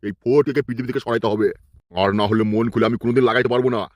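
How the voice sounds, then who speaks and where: A man's voice: mid-range voice, steady tone, racing, dry recording, clean studio sound, close to an everyday voice. A man voicing a lustful, boastful village chief villain in a cartoon, with a bossy, scolding, swaggering manner. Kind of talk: adult cartoon comedy acting.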